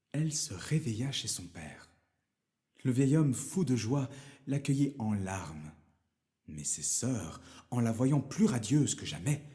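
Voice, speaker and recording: Deep, unhurried male storyteller. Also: dark tone. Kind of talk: scripted fairy tale narration.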